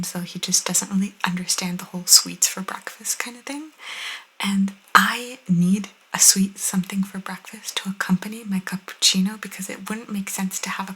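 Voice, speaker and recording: A young woman whispering softly and intimately as an ASMR host, reading and chatting casually close to the microphone. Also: medium pitch, husky, poor audio. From Italian cultural background. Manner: soft, intimate, chatty asides.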